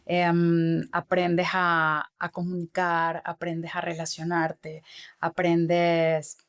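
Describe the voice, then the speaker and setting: Middle-aged female guest, lowish voice, earnest and reflective, peppers her talk with fillers. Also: monotone, drawn-out words, clear, resonant tone. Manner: earnest, many fillers and tag questions. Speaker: podcast guest, author and former corporate manager. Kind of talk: reflective interview answers.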